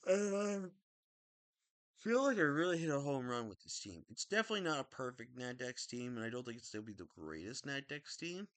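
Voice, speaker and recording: A young man talking casually about his game team, rambling and correcting himself, with a slightly raspy throat. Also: mid-range voice, fairly quick, no room sound. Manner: rambling, self-correcting, excitable. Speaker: draft league player. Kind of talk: casual video commentary.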